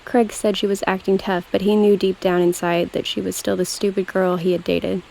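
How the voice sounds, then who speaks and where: Woman reading a scary story, mellow with some fry, faint hiss. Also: medium pitch, little pitch movement, moderate pace, poor audio. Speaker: narrator.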